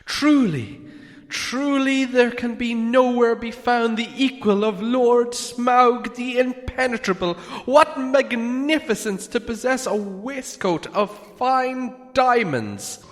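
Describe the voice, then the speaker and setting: A man voicing a small, timid, flattering hobbit in a storybook reading, squeaky, halting and over-polite. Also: in a large hall, heavily acted. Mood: nervous flattery.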